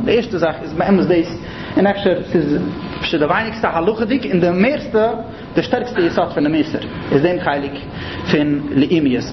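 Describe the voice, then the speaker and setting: A man with a Yiddish accent giving an explanatory lecture in a didactic way, repeating and rephrasing his points. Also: on the high side. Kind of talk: explanatory religious-political lecture. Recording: muffled, noisy, echoey room recording.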